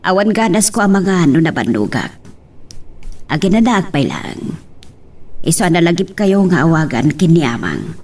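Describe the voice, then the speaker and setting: Husky, low-voiced weary mother. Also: big pitch swings, normal speed, long pauses, darkish tone, recorded from a distance, heavily acted. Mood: weary, chatty. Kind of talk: radio drama acting in Ilocano.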